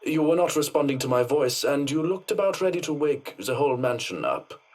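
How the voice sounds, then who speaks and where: An actor playing a stoic, formal head butler and former doctor, speaking in a clipped, dry, condescending manner. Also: male voice, a little high, expressive, fairly quick, a little mellow. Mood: stiffly apologetic.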